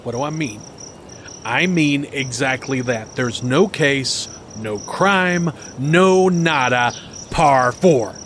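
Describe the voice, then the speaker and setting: An actor playing a crass, belligerent former TV reporter in a podcast drama, talking in a pompous, dismissive way outdoors. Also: male voice, medium pitch, slow pace, a bit bright. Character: crass, belligerent right-wing ex-TV reporter, a man, golfing. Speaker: former roving news reporter. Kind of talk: acted podcast drama dialogue. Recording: noisy outdoor ambience with insects.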